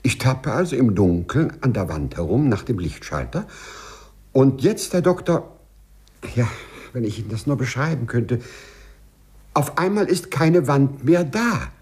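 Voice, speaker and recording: A man's voice: mid-range voice, big pitch swings, unhurried, darkish tone, breathy edge, exaggerated voice. An actor playing a timid, overly correct male civil servant with hesitant, formal phrasing in a 1950s radio play. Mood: agitated, bewildered.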